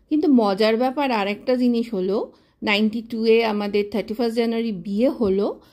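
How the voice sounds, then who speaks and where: A woman, telling her own love story to viewers in a chatty, reminiscing way with frequent pauses. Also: fairly high pitch, darkish tone. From Kolkata, India. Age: 50s.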